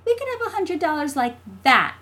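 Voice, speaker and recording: A woman's voice: high-pitched, very animated, moderate pace, fairly bright tone, pitched above the performer's own voice. A woman voicing a feisty, smug young schoolgirl in an audiobook, speaking in an emphatic, childlike way. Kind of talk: audiobook character dialogue.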